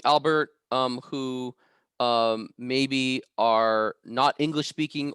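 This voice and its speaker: A man from the United States conducts an informal online interview. His voice is clean and smooth, with a dry sound and a middle pitch. He speaks haltingly, often hesitating as he builds a question. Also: a bit bright, dry recording.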